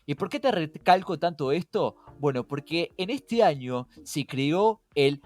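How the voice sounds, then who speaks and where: A man's voice: fairly high pitch, expressive, measured pace, darkish tone, soft background music. A man with a Rioplatense Argentine accent co-hosting a conversational explainer podcast, often posing questions and then answering them. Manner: rhetorical questions to co-host and listener. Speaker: podcast co-host.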